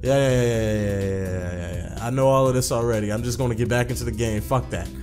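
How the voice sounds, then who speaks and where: A man's voice: medium pitch, quick pace, darkish tone. Slangy man doing gaming commentary over music. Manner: slangy, profane, repeats himself. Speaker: gaming video host. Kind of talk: casual let's play commentary, reading visual novel text aloud.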